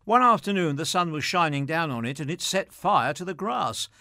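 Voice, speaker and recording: A man's voice: a little high, expressive, normal speed, bright, forceful tone, no echo, studio quality. A man presenting scripted material and reading anecdotes aloud.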